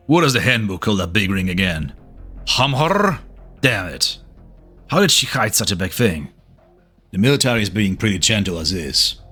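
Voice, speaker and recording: A man's voice: sits low, bright, forceful tone, slightly rough, exaggerated voice. A man playing a cynical army colonel in a game, with a sardonic, grumbling delivery. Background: faint music.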